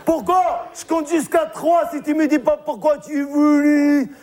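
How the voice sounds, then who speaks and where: A man's voice: very high-pitched, level tone, unhurried, a little mellow, some chatter behind, voice pushed up. A frantic, distraught goat owner, played by a male comedian, cries out in a voice pushed well above his usual register. His pleading outcries come from a stand-up storytelling routine. The recording is a noisy live stage capture.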